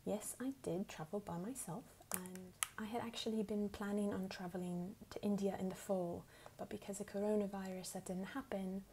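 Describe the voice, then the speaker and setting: A woman from the United States with a put-on English accent, speaking softly and at times whispering as she unhurriedly answers questions for an ASMR video. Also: mid-range voice, steady tone, moderate pace, hardly any pauses, noisy background. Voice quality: soft, partly whispered.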